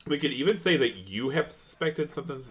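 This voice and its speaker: A man's voice: fairly high pitch, animated, a little fast, fairly bright tone. A man acting as game master in a casual tabletop role-play podcast conversation.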